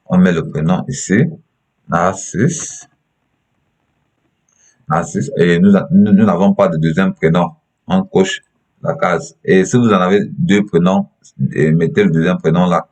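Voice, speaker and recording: Very deep-voiced Cameroonian man, halting. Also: steady tone, darkish tone. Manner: halting, repeats himself. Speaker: tutorial presenter. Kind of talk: step-by-step screen tutorial.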